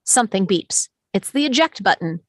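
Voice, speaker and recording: A woman narrates an animated film script, reading the stage directions aloud. Her voice sits at a medium pitch and sounds dry, with no room around it. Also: moderate pace, no room sound.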